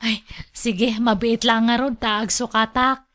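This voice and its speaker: An actress playing a timid, hesitant young wife in a radio drama, speaking with an Ilocano accent. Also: female voice, a little high, little pitch movement, slow, a bit bright.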